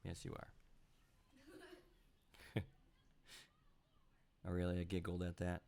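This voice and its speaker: Man, very low voice, gravelly, congested, rambling live-stream chat, home computer mic. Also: monotone, drawn-out words, darkish tone. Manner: rambling, many fillers and chuckles. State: sounds congested. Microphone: home computer mic with high gain.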